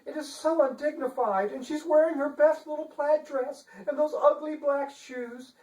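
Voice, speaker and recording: High prim lady chancellor, done by a guy, stiff and affected, storybook reading, echoey room. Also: steady tone, moderate pace, a little mellow. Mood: distressed, shocked. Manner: affected, mannered, stiffly genteel. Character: petite dour woman chancellor, stiff, affected, genteel. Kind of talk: dramatic novel reading. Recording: echoey room, distant microphone.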